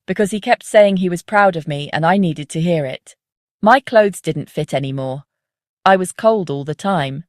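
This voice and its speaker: Low-voiced woman narrating, dry close sound. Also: darkish tone, dry recording. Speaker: narrator of a dark psychological story. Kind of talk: scripted first-person narration.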